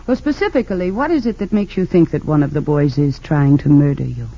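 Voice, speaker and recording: An actress playing a composed, principled woman defense attorney in an old radio drama, formal and measured as she questions. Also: low voice, breathy edge. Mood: probing, businesslike. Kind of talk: 1940s-50s radio drama acting. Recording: dull lo-fi old radio recording.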